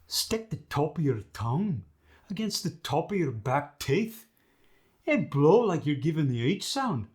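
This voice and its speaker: A man's voice: fairly high pitch, big pitch swings, unhurried, darkish tone. A man playing a boastful Scottish dialect master, demonstrating sounds in an emphatic, swaggering manner for a comic lesson. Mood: instructive, cocky.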